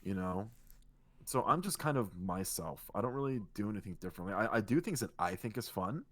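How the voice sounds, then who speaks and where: A man co-hosting a casual podcast, talking in a relaxed, rambling way with a soothing voice and casual swearing. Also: fairly low pitch, brisk, no echo. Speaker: podcast co-host and streamer. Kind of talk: casual chat.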